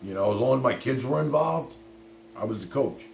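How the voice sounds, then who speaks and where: A man's voice: medium pitch, lively intonation, fairly quick, creaky. A middle-aged man chatting casually on a livestream, telling personal stories in a blunt, rambling way with some swearing. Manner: blunt, rambling, peppered with swearing and "you know". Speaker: livestream host. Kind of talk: casual chat, personal storytelling to live viewers. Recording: roomy echo with faint hum and noise.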